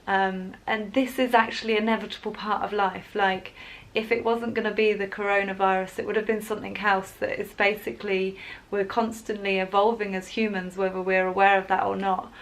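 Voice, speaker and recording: A woman's voice: mid-range voice, little pitch movement, a little fast. A woman talking casually to camera in a rambling vlog, laughing often as she goes. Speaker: wellbeing vlogger. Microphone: camera in front, leaning closer at times.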